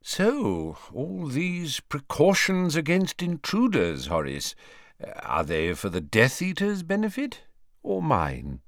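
A very old, courteous wizard headmaster from a storybook, acted out by a male reader. He speaks slowly and serenely, with gentle irony and rich rises and falls in a dry, close sound. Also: a little high, huge pitch swings, no room sound, voice pushed up, heavily acted. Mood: probing. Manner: serene, measured, gently ironic. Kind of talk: audiobook character voice.

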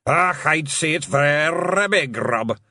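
A man's voice: on the high side, animated, pushed and bright, no echo, clean studio sound, pitched above the performer's own voice, full character voice. A man doing a pompous Scottish pixie. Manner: pompous, drawn-out and ponderous.

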